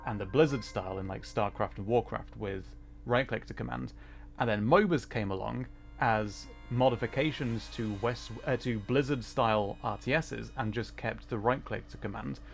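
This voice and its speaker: A man's voice: medium pitch, moderate pace, gravelly. Rambling man streamer over music. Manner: rambling, thinking aloud. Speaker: livestream host, gamer. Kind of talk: casual gaming livestream commentary.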